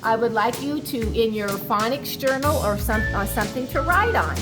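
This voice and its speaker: A woman's voice: fairly high pitch, normal speed, over loud music. A woman reading a picture book aloud to children like a teacher, expressively stretching key words and adding explanatory asides for young listeners.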